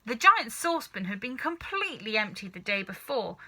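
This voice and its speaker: A woman narrating a children's storybook in an animated reading style. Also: on the high side, fairly quick. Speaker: storybook reader.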